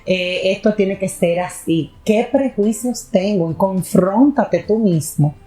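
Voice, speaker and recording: A woman's voice: medium pitch, slow, dark tone, faint music behind. A woman hosting a talk, speaking conversationally and often restarting and correcting herself mid-sentence. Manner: rambling, self-correcting, restarts with fillers. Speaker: host.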